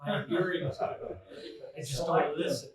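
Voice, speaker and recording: A man in the audience asking a question with quick, joking asides. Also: on the high side, a loud crowd. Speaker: audience member. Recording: distant voice in echoey crowded room.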